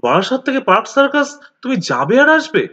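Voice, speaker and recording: A man's voice: very high-pitched, big pitch swings, brisk, pushed and bright, slightly rough, lo-fi sound, pitched above the performer's own voice, heavily acted. A man voicing an exasperated, incredulous younger friend in a comic story, questioning and pleading.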